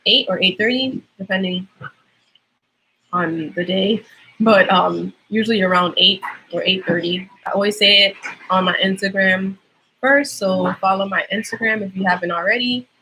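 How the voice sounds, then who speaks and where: A woman's voice: medium pitch, moderate pace, sharp tone, a little hiss or hum, rough recording. Chatty Trinidadian woman, casual livestream. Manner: chatty, explains with lots of fillers. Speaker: nursing student livestreamer.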